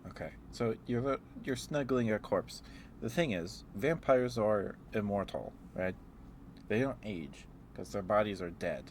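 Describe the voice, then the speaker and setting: A man's voice: lowish voice, lively intonation, a little slow, a little hiss or hum. A man doing a casual ASMR ramble as a livestreamer, playfully musing aloud and letting thoughts trail off.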